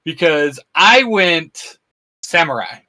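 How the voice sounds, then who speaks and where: A man co-hosting a casual podcast chat, excitable and rambling, laughing often. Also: a little high, slow. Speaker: co-host.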